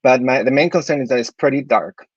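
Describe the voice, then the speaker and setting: A man's voice: medium pitch, fast, slightly rough, no room sound, muffled recording. A man in his thirties from Chile, an architect giving portfolio tips in an online talk, hesitant, with many fillers and restarts. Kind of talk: online talk with slide review.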